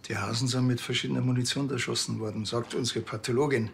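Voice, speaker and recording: A man's voice: medium pitch, steady tone, fast talker, vocal fry, slight background noise, natural voice. An actor playing a dry, blunt, impatient policeman with a Bavarian accent in a TV crime comedy. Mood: probing.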